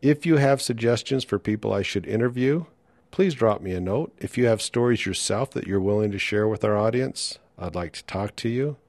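A man's voice: lowish voice, lively intonation, moderate pace, a little mellow, dry recording. A man hosting a podcast, talking casually and chattily to his listeners. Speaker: podcast host and interviewer. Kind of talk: casual podcast talk, reading listener reviews and announcements.

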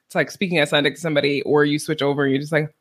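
A woman interviewing a guest in a casual conversation, chatty and friendly, with quick laughs and many small agreeing sounds. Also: deep voice, flat delivery.

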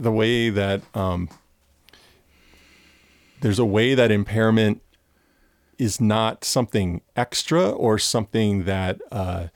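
A man interviewing an author, asking long questions in a halting, searching way with repeated words. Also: fairly low pitch, gravelly, dry recording, clean studio sound. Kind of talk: academic conversation.

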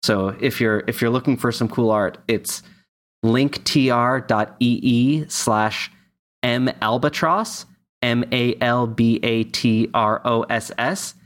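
A man's voice: mid-range voice, fairly even intonation, slow, a bit bright. A man co-hosting a podcast, chatting casually and reading out announcements. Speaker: podcast co-host.

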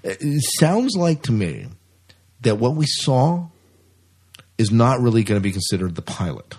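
A man's voice: medium pitch, big pitch swings, a little slow, darkish tone, breathy edge. A man with an American accent co-hosting a podcast in a casual, chatty style. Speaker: podcast co-host. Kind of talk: casual sci-fi news chat.

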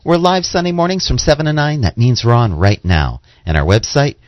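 A man's voice: medium pitch, fairly quick, no room sound. A man co-hosting a radio program, making announcements and reading scripture aloud. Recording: dull, lo-fi broadcast audio.

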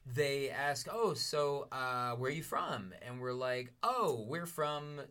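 A man's voice: mid-range voice, lively intonation, takes it slowly, bright, forceful tone, smooth. A man from Denver co-hosting a casual podcast about teaching, earnest and reflective, laughing easily between thoughts. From Denver, USA.

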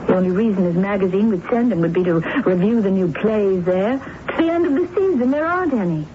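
A woman's voice: medium pitch, animated, quick pace, natural voice. An actress playing an insistent, theatrical woman accused of murder who turns amateur sleuth, in an emphatic radio drama style. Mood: insistent, probing. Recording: narrow-band noisy old radio broadcast.

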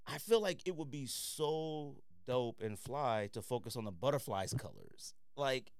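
A man with a smooth, clear voice speaks in a dry, close-sounding space. He hosts a casual review podcast, giving firm opinions in relaxed slang and hammering points by repeating them. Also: medium pitch, dry recording. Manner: opinionated, slangy, emphatic repetition. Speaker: podcast host. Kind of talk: casual sneaker review chat.

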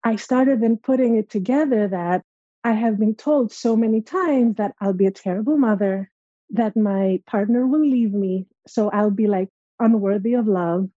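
A woman's voice: medium pitch, normal speed, warm tone, dry recording, lo-fi sound. A young woman from Greece telling a personal story as a podcast guest, earnest and reflective. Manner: earnest, reflective, acts out quoted voices.

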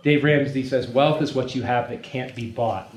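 A man's voice: medium pitch, moderate pace. A man preaching in an informal, conversational way to a live group, emphatic and repeating phrases for weight. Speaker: preacher leading a teaching session. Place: room, speaking live to a gathering. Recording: echoey room with background noise.

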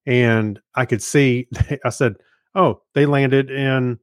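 A man's voice: mid-range voice, steady tone, slow, dry recording. A middle-aged man with a mid-range voice co-hosts a podcast in a casual chat. He rambles through his points and quotes his own thoughts. The sound is close and dry. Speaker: podcast co-host.

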